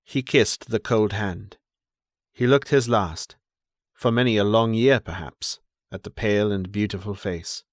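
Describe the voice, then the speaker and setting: A man with a fairly low voice narrates period fiction. He is a measured, dramatic storyteller, and the recording is dry and close, as in a studio audiobook. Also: no room sound. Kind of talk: scripted literary narration.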